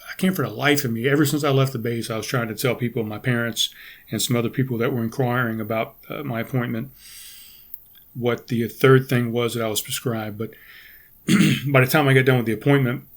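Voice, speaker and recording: A middle-aged man hosting a podcast, talking casually with a raspy, hoarse and congested voice, pausing often to clear his throat and restarting sentences. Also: medium pitch. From United States. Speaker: podcast host. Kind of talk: casual solo podcast talk.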